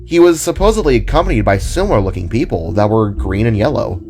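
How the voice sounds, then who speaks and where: A friendly young musician played by a male narrator in an audio drama, low-voiced and easygoing. He muses aloud with varied intonation over background music. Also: animated, fast, a little mellow. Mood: musing. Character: friendly young man, thoughtful aspiring cellist in retail.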